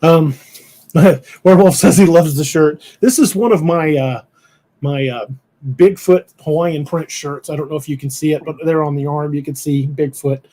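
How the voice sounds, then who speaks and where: A middle-aged man hosting a live podcast, rambling and laughing, his voice a bit hoarse from shouting. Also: fairly high pitch, moderate pace. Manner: rambling, self-interrupting, laughs often. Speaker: podcast host. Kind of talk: casual livestream chat. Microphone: headphones with mic on a video call.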